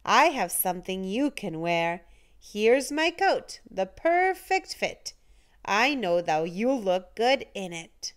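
A woman's voice: mid-range voice, sing-song, slow pace, clean tone. A woman voicing helpful, eager young children in a storybook, exclaiming with emphasis. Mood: generous.

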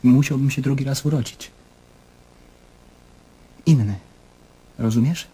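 An actor in a radio play voicing an anguished, self-questioning middle-aged man, brooding and intense, speaking in halting monologues. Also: medium pitch, mellow, slightly rough, low-quality recording. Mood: weary, troubled.

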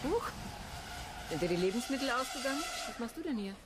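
A woman's voice: on the high side, expressive, a little fast, background noise, close to an everyday voice. An actress playing a blunt, suspicious girlfriend in a radio crime drama. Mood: surprised, suspicious. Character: blunt, suspicious girlfriend back from a business trip.

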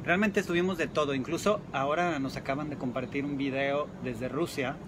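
A man co-hosts a live stream and greets viewers, speaking in a friendly, quick way. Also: fairly high pitch, crowd noise. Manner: friendly, helps with words, quick. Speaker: dog trainer, co-host. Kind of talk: live video chat. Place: outdoor patio. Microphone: phone at arm's length. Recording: noisy outdoor phone stream.

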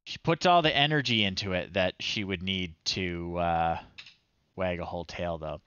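A man's voice: low voice, normal speed, clean tone, dry recording, dull audio. A man in his thirties doing casual, wry livestream commentary, chatting to himself and reading screen text aloud as he plays. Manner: wry, chatty, thinking out loud. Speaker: livestream host.

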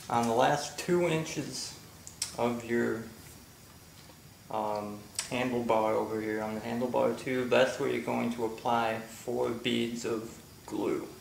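Slow, gravelly man in echoey garage. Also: mid-range voice. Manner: slow, halting, filler-heavy explaining while working. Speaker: motorcycle vlogger. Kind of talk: casual how-to narration. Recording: echoey garage with faint ambient noise.

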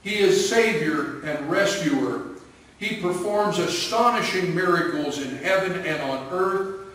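A man's voice: sits high, lively intonation, measured pace, fairly bright tone, very echoey, far from the mic, low-quality recording. A man voicing an anxious, commanding ancient king in a children's Bible story. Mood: proclaiming.

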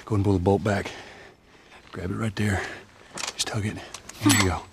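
An actor playing a gruff, guarded middle-aged survivor in a video game, with a Southern US accent and a terse, commanding manner. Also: male voice, lowish voice, fairly even intonation, normal speed, a little hiss or hum. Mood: steady, instructive. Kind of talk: video game cutscene acting.

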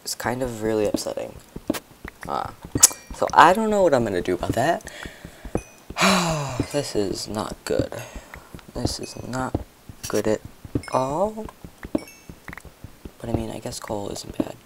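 A young man with a hoarse voice, chatting and rambling to viewers as he comments on his own gameplay. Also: mid-range voice, very animated, slow, long pauses, background noise. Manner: chatty, rambling, talks to viewers while playing.

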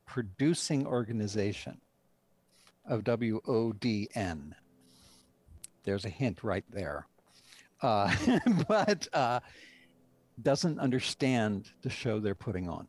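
A man's voice: fairly low pitch, animated, slow pace, a little mellow, dry recording. An older man speaking as a writer and director in an interview over a group video call. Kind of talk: interview discussion of a radio drama.

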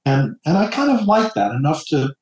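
A middle-aged man from New Jersey, chatting as a podcast guest in a halting way and often stumbling over repeated words. His voice sits a little high for a man. It is recorded in an echoey room. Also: huge pitch swings, a little mellow. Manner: halting, with many stammered repeats. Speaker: author guest. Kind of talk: casual podcast chat.

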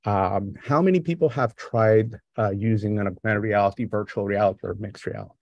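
Greek-accented man, gravelly low voice. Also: brisk, no echo, lo-fi sound. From Greece. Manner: relaxed, conversational, with frequent fillers. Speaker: lecturer. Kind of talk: remote academic lecture. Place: home or office on a video call. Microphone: video call microphone.

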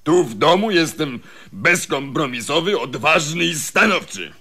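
Pompous, touchy family man, forceful voice. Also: on the high side, expressive, a little slow, full character voice. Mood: offended. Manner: pompous, stiff, officious. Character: pompous, touchy middle-aged husband and father. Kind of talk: radio comedy acting.

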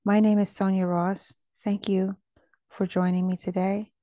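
A woman guiding a meditation in a gentle, calming manner. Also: mid-range voice, a little slow, dry recording. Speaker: meditation guide. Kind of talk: guided meditation narration.

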